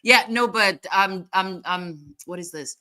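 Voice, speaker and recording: A woman's voice: mid-range voice, a little slow, bright, forceful tone. A woman hosting a casual live chat, drifting distractedly between reading viewer comments and replying to them. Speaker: livestream host.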